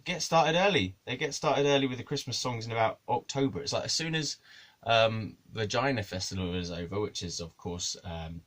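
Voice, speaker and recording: A man's voice: lowish voice, normal speed, a bit bright. A man, a musician rambling through a comic monologue to camera, swearing and laughing at his own jokes. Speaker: musician and video maker.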